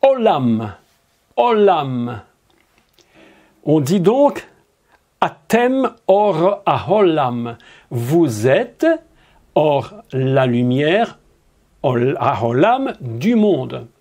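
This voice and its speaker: A man's voice: a little high, very animated, extremely slow, pauses often, a little mellow. A man preaching a sermon, emphatic and drawn out, with rhetorical questions to his listeners.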